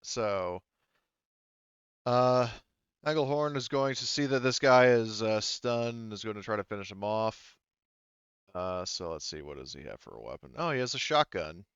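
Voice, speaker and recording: A man's voice: on the low side, animated, moderate pace, fairly bright tone, clean tone, dry recording. A man acting as game master in a casual tabletop game, thinking aloud and explaining rules in detail. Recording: dull, slightly muffled close recording.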